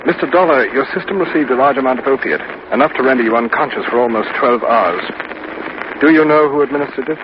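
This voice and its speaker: A man's voice: medium pitch, quick pace, lightly acted. A man playing a courteous, composed British chief inspector in a 1950s radio drama, polite and understated. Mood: courteous, reassuring. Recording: dull, noisy vintage radio recording.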